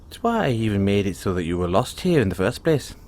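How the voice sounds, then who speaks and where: A man's voice: lowish voice, big pitch swings, fast, a little mellow. A man playing a cheerful, kindly leprechaun with a teasing, folksy manner, in an audio roleplay spoken to the listener. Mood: curious, sly. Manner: chuckling, teasing, folksy talk. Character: cheerful, kindly male leprechaun, chatty and sly.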